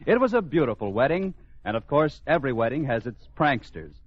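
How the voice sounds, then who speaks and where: A man's voice: medium pitch, big pitch swings, fairly quick, breathy edge. A man announcing and narrating in scripted 1940s radio style, polished, theatrical and wry. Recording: dull old radio recording over music. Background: prominent music.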